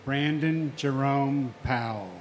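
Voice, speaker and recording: Solemn man, unhurried reading, firm and insistent in his remarks at a memorial ceremony. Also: medium pitch, slight background noise. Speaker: victim's family member reading names.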